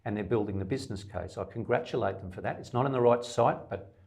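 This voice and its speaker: A man's voice: fairly low pitch, quick pace, gravelly, darkish tone. An Australian man, a middle-aged independent candidate, answering interview questions emphatically in long lists, with some stammered restarts.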